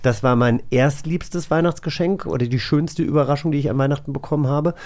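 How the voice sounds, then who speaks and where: A man's voice: fairly high pitch, fairly quick, darkish tone. A man from Germany hosting a casual interview podcast, chatting in a colloquial, storytelling way. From Germany, grew up in a village. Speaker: podcast host.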